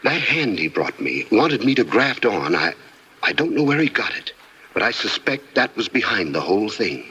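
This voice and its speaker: An actor playing a concerned doctor in a 1950s radio drama, earnest and measured. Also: male voice, fairly low pitch, big pitch swings, bright, forceful tone, breathy edge, highs cut off, full character voice. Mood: grave, explaining. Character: grave, concerned male doctor and friend. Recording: old radio broadcast, background hiss.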